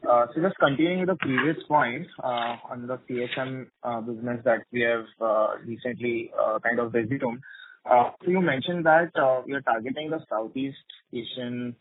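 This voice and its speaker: A man's voice: medium pitch, no room sound. Analyst man on a dry phone line. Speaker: analyst asking questions. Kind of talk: earnings call questioning.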